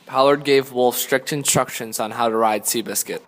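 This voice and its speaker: A man's voice: medium pitch, normal speed. A man reading scripted story narration as a narrator. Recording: echoey hall reverb.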